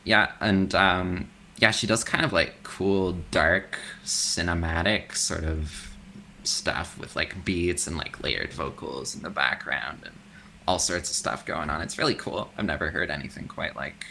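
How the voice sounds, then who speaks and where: A man with a very low, gravelly voice that still carries a bright, cutting edge. He talks in a loose, chatty way and drops casual swears as a guest on a podcast. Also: a little slow, a little hiss or hum. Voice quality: deep voice. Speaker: guest, extreme metal vocalist. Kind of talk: casual interview chat.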